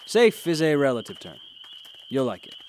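An actor playing a confident, philosophical mentor in a radio drama, with a teasing, cryptic manner. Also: male voice, mid-range voice, very animated, normal speed, darkish tone, a little hiss or hum, natural voice. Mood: calm, cryptic. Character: cryptic, wry, confident male adventurer and mentor. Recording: music behind the voice.

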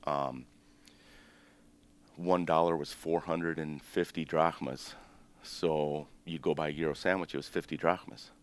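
A man in his fifties, born in Greece and raised in the United States, telling his family's story as a restaurant-owner guest in a relaxed, rambling way with many ums. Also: rumbling low, a little slow. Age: 50s. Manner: relaxed, rambling, with frequent ums and self-corrections. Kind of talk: casual interview storytelling.

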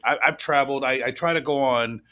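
A man from the US west coast in his thirties, co-hosting a podcast in relaxed casual chat. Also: medium pitch, fast. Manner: relaxed, chatty, repeats words while starting sentences. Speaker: podcast co-host.

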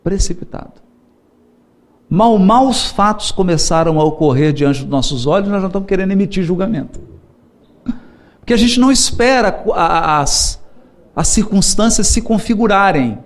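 A man delivering a religious lecture. His voice sits high and swings widely in pitch. He is lively and humorous, repeating words for emphasis. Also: moderate pace. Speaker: Spiritist lecturer.

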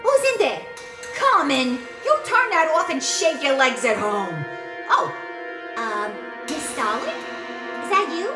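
A very high, wildly swooping voice in an echoey room over music: a gossipy female office worker in a campy B-movie audio drama. She is exaggerated and slangy. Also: big pitch swings, measured pace. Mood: alarmed. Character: chatty, nosy female office worker who turns terrified. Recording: roomy echo, music behind.

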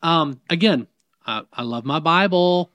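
Middle-aged Southern man, minister and guest; emphatic preacher's delivery, fairly high voice, dry sound. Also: lively intonation, a little slow, pushed and bright, no echo. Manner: emphatic, preacherly, frequent restarts. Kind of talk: casual interview conversation. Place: in person, New York.

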